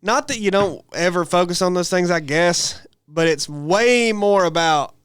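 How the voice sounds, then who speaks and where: A man's voice: high voice, measured pace, fairly bright tone, clear, resonant tone, no echo, clean studio sound. An American man in a casual religious podcast discussion, talking in an emphatic, colloquial way as a host. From United States.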